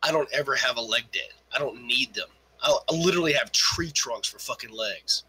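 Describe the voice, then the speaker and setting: A man in his forties argues over online voice chat, restarting his sentences and swearing freely. His voice is bright and forward with a husky edge, and he jokes crudely as he goes. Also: mid-range voice, brisk, low-quality recording. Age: 40s. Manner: stammering, profane, joking. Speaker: debate stream participant. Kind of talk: crude casual argument.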